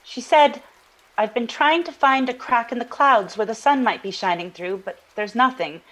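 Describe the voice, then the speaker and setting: A woman with a fairly high voice, earnest and troubled, in a naturalistic audio drama. She recounts a strange dream haltingly, doubling back on her words as she searches for the right ones. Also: fairly bright tone, rough recording. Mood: quoting, dreamy.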